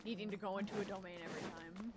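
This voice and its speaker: A woman's voice: medium pitch, fairly even intonation, racing. A woman hosting a gaming video with casual, sarcastic commentary, swearing and muttering to herself. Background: video game music.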